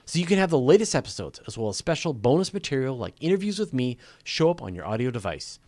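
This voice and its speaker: A man's voice: medium pitch, sing-song, fast, darkish tone, dry recording. A man hosting a casual live question-and-answer show, talking in an informal, joking, conversational way. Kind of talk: casual live Q&A chat with viewers.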